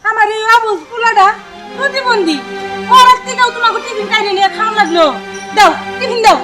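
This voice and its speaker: Shrill, fiery village mother played by an actress. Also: quick pace, over loud music. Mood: indignant. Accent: Bangladeshi. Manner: shrill scolding and wailing. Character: fiery, aggrieved village mother, protective and cursing. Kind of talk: melodramatic short-film acting.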